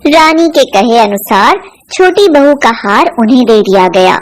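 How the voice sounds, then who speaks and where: Female storyteller for animated fables; high pitch, slow scripted delivery. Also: slight background noise. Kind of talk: scripted folk-tale narration for cartoon.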